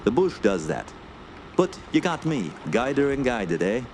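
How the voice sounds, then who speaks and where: An actor playing a hearty, proud French-Canadian backwoods guide in a radio play, with folksy, colloquial speech. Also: male voice, mid-range voice, very animated, a little slow, darkish tone, low-quality recording. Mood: jovial. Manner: colloquial, folksy, ends remarks with "eh". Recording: clear background noise under voice.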